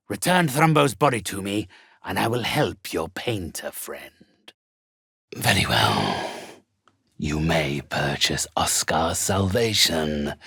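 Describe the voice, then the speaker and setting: A sinister undead necromancer for a video game, deep and very slow. He speaks with pompous, coldly polite menace, his gravelly voice husky and close. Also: a bit bright, no echo, exaggerated voice. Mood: condescending. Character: sinister, haughty undead male necromancer trading in spirits. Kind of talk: scripted video game character acting.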